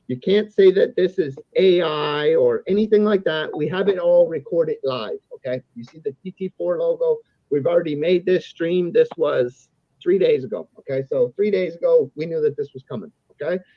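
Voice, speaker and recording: A man's voice: a little high, dry recording. A man talking in a rambling, argumentative way, combative, sweary and disjointed. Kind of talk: casual, rambling argumentative talk. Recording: dull, lo-fi close audio.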